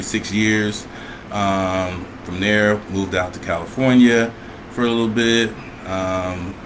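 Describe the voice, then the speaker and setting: Deep-voiced middle-aged man. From Michigan, USA. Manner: relaxed, hesitant with many fillers. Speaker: guest, chef. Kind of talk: casual conversation on a livestream. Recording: noisy home recording.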